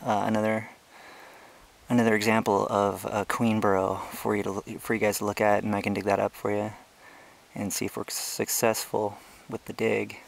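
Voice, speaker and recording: A young man with a slightly low voice talks through his outdoor trail hike in a loose, chatty way, full of ums and restarts. He is recorded on the handheld camera he carries while walking and filming. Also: moderate pace, gravelly. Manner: relaxed, chatty, explaining with many fillers. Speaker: ant-keeping channel host. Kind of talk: casual hiking vlog narration. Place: outdoors on a brushy hiking trail.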